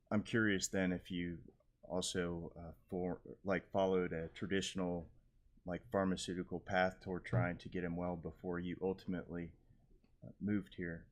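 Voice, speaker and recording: A man hosting a live show, chatting in a relaxed, rambling way with fillers. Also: low-pitched. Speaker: host. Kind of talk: casual live show chat.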